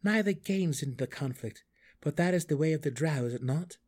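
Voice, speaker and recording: A man performs a brooding dark elf exile from a fantasy storybook, pitched above a plain narrating voice. He speaks formally and earnestly, with resolute defiance. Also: expressive, normal speed. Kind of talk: fantasy audiobook character acting.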